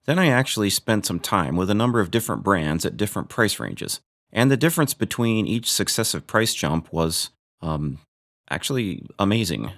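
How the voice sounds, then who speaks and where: A man's voice: lowish voice, sing-song, moderate pace, a bit bright. A man hosting a gear review video, narrating from a script with dry, joking asides.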